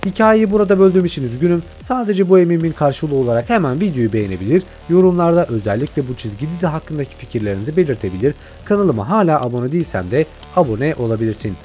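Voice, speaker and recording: A man's voice: on the high side, lively intonation, fast, few pauses, mellow, soft background music. A man delivering scripted video essay narration as a channel host.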